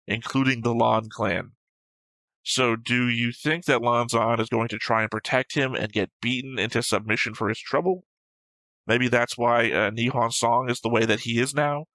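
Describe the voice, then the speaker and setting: A man's voice: mid-range voice, sharp tone, no room sound, poor audio. A man co-hosting a reaction show, chatting casually about a series in a flippant, argumentative way. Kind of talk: casual discussion of an anime series.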